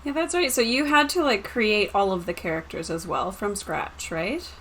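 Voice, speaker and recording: A woman's voice: medium pitch, moderate pace, fairly bright tone. A woman co-hosting a roleplaying stream in casual chat, with an informal, blunt, joking manner. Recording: clearly noisy livestream audio.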